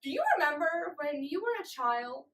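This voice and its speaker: A young woman with a high-pitched voice recites slam poetry. Her delivery is dramatic and deliberate, with emphatic pauses between phrases. She is recorded at some distance from the microphone. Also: expressive, fairly bright tone. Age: young adult. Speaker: slam poet. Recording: voice distant from the mic.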